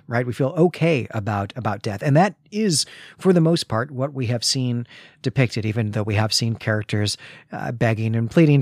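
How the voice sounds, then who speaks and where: A man's voice: medium pitch, no room sound, clean studio sound. A man co-hosting a literary podcast, explaining and analysing in a conversational way, often checking his point as he goes. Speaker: podcast co-host. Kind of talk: conversational literary discussion of a comic.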